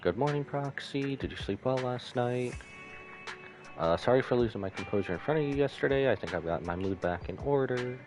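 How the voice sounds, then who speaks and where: A man's voice: medium pitch, animated, a little fast, pitched above the performer's own voice, lightly acted. A man voicing a troubled, earnest young female soldier from a game, hesitant and apologetic. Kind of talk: game dialogue read aloud by a streamer. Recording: music behind the voice.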